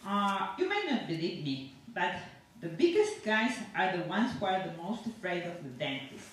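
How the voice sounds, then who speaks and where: A woman's voice: on the low side, sing-song, normal speed. A woman reading a story aloud as a chatty, self-satisfied, sinister dentist who rambles on with cheerful reassurance to a patient. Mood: chatty, anecdotal. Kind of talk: dramatic monologue read aloud to an audience. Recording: echoey room from far off, faint noise.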